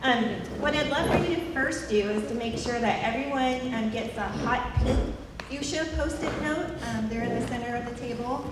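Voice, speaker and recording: Southern lady running a workshop, upbeat, repeats stuff slow, far off in a big echoey hall. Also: fairly high pitch, heavy background noise. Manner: upbeat, instructive, repeats instructions slowly. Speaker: workshop facilitator. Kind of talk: leading a group workshop session. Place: large meeting hall with many tables.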